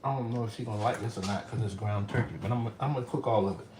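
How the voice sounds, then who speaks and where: A man's voice: fairly low pitch, rapid-fire. A middle-aged man chatting casually as he cooks in his home kitchen, talking to viewers and his pets while he works. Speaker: home cook vlogger. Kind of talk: casual cooking vlog chat. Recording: echoey room, distant mic, background noise.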